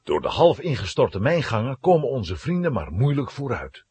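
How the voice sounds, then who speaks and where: A man's voice: mid-range voice, big pitch swings, moderate pace, a little mellow, husky, dry recording. A man narrating a children's adventure story as a dramatic, scripted storyteller.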